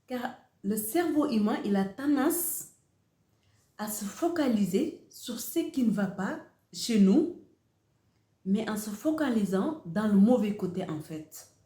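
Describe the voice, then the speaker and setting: Slow-speaking woman, echoey room. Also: mid-range voice, expressive, warm tone. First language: Wolof. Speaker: host of a motivational channel. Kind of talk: motivational talk to camera.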